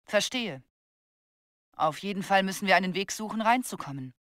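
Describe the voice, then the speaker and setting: Woman acting a lively, firm squad leader. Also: mid-range voice, moderate pace, dry recording, clean studio sound, lightly acted. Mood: subdued concern. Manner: crisp military commands and briefings. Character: brisk, firm female squad leader, easily exasperated. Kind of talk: video game voice acting, German dub.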